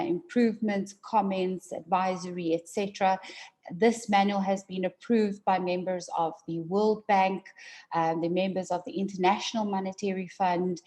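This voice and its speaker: A woman from South Africa, an attorney giving a formal conference presentation in a measured way, with frequent fillers and repeated words. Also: on the low side, mellow.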